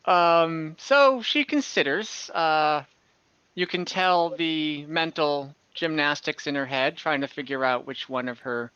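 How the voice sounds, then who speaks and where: A man improvising narration as game master of an online tabletop game, haltingly searching for words. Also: fairly high pitch, sharp tone, clean tone, dry recording, lo-fi sound.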